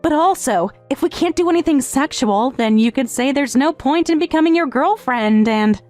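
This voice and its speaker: Casting: actress as clingy teasing little step-sister, high coy voice, manga rom-com dub, music underneath. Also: female voice, animated, normal speed, a little mellow. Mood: earnest, meek. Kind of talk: English manga dub romantic comedy acting. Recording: music behind.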